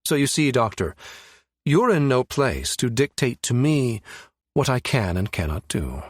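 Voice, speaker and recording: A man's voice: mid-range voice, sing-song, no echo, studio quality. Man playing a smug, scheming villain. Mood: accusing. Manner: mocking, measured, menacing. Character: cold, scheming male villain, smug and calculating.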